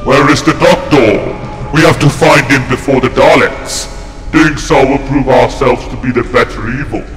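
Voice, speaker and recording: Merciless metal soldier played by a man, mid-pitched, heavy echo, stiff and robotic orders, audio drama. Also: normal speed, fairly bright tone, faint music behind, lightly acted. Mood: determined. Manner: stiff, commanding, robotic delivery. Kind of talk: fan audio drama acting. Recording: echoing metallic hall reverb.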